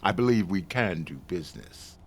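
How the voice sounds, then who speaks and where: A man's voice: deep voice, lively intonation, normal speed, a little hiss or hum. A man playing a courteous, calculating Martian client in a 1950s-style radio drama, with precise, formal phrasing. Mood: pleased, businesslike.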